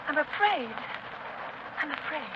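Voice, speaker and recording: A woman's voice: high voice, sing-song, fast. An actress playing an anxious, pleading young woman in a 1930s radio melodrama, with theatrical delivery. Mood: fearful, pleading. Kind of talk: 1930s radio drama acting. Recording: muffled, noisy 1930s radio recording.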